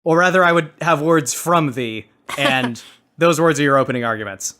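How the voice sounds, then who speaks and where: A man's voice: fairly high pitch, normal speed, sharp tone. A man hosting and judging a comedy podcast, reading scripted comic narration theatrically. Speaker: podcast host and debate judge.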